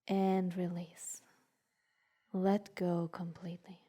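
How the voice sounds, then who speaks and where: A woman with a soft, breathy voice leading a guided meditation in a soothing, unhurried way, leaving long pauses between short instructions. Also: fairly low pitch, flat delivery.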